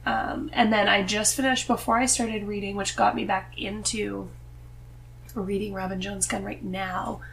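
A woman's voice: medium pitch, level tone, a little slow, vocal fry, fairly bright tone, a little hiss or hum, poor audio. A woman in her thirties chatting casually as a guest on a podcast. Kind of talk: casual chat about books.

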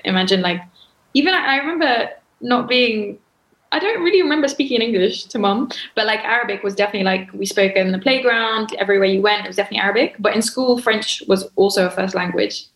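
A woman's voice: medium pitch, fairly bright tone. A young woman guest in a casual chat, easygoing and laughing often. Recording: roomy echo.

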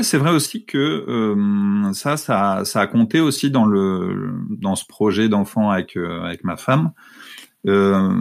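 A man in his thirties, a guest in an interview, talking about his family with many pauses and fillers. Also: fairly low pitch. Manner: hesitant, many fillers and restarts. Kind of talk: conversational interview.

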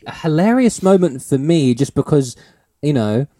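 A man's voice: a little high, very animated, normal speed, warm tone, no room sound, studio quality. A man, hosting a podcast in a rambling, teasing, laugh-filled chat. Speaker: podcast host.

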